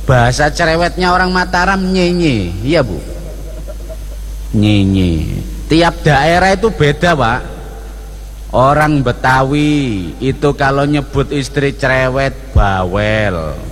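A man preaching in a mosque, his East Javanese accent plain as he jokes with the congregation. He speaks slowly and deliberately. His voice carries a long hall reverb over faint background ambience. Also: medium pitch, a little hiss or hum. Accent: East Javanese (Surabaya). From East Java. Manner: jokey, animated, playing to the congregation. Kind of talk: sermon with humorous prayers.

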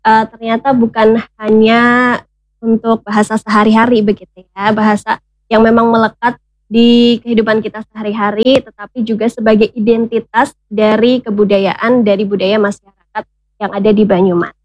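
Female radio host, slightly high voice, close dry studio mic, courteous moderator. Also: little pitch movement, normal speed, a little mellow, no echo, lo-fi sound. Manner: polite, wrapping up and thanking guests. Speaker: radio talk show host. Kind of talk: moderating a culture talk show. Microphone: studio microphone.

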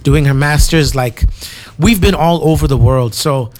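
A young man from Zimbabwe, a musician guest in a relaxed interview, easygoing and full of "you know"s. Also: on the high side, unhurried, a little hiss or hum. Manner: easygoing, repeats himself, many "you know"s.

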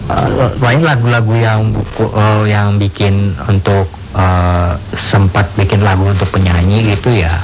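A man's voice: fairly low pitch, fairly even intonation, measured pace, over music, low-quality recording. A man with a Jakarta Indonesian accent, speaking casually and colloquially as an interview guest who chuckles often. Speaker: interview guest, rapper and songwriter.

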